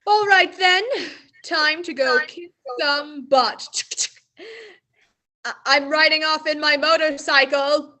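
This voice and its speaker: A woman's voice: sits high, slow pace, long pauses, sharp tone, full character voice. A woman playing a brash, boastful young female mayor in a school radio play. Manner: swaggering, boastful.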